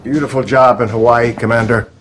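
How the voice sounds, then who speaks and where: An actor playing a grave, statesmanlike American president, speaking formally and in a measured way in a game cutscene. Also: male voice, medium pitch, little pitch movement, a little hiss or hum, close to an everyday voice. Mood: earnest, grave. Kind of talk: dramatic game cutscene acting.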